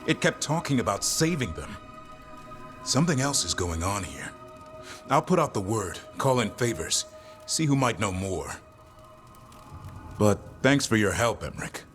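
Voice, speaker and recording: Impatient, dutiful hunter for a fantasy game: fairly low, animated pitch, blunt and terse. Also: male voice, measured pace, long pauses. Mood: resolved. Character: brusque, impatient but dutiful male monster hunter. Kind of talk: video game voice acting. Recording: music behind the voice.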